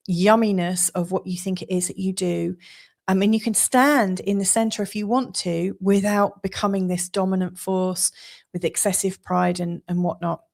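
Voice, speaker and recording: A woman's voice: medium pitch, darkish tone. A woman from England with an English accent, giving an astrology forecast in an earnest, chatty monologue with frequent laughs and fillers.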